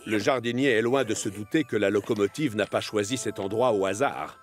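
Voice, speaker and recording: A man's voice: fairly low pitch, a little fast, soft background music. A man narrating a children's cartoon story in a scripted storytelling style.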